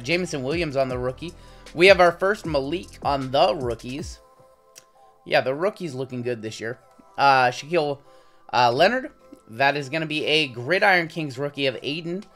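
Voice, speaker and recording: A man in his thirties with a sore throat and a slightly raspy voice, chatting casually through a pack opening. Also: mid-range voice. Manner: relaxed, chatty running commentary with frequent "uh"s. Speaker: card-breaking channel host. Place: indoor home studio with logo backdrop.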